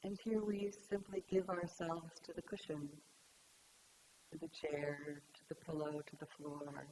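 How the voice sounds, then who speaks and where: A woman meditation teacher leading a guided meditation in gentle, unhurried phrases with long pauses. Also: low voice, level tone, low-quality recording. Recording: clear background hiss.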